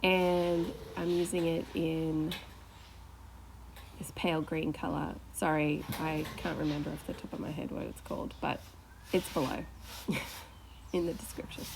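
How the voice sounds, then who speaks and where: Australian woman, casual narration, laughs a lot, lower pitch, faint background hum. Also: level tone, unhurried, creaky, pauses often. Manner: chatty, laughs often, self-deprecating. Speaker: furniture flipping creator.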